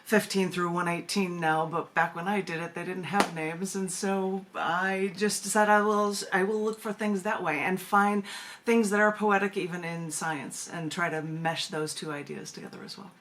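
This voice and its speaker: A woman's voice: lowish voice, steady tone, moderate pace, fairly bright tone, slight background noise. Chatty woman on a video call. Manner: chatty, laughs often, restarts sentences. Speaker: poet and magazine editor, guest. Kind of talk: radio interview over video call.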